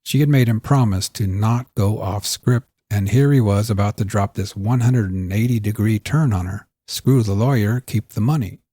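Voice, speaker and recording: A man with a low, slightly husky voice narrates fiction in a dramatic storytelling manner. The recording is close and dry, with faint music behind him. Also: normal speed, few pauses, dark tone, no room sound. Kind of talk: scripted audiobook-style narration.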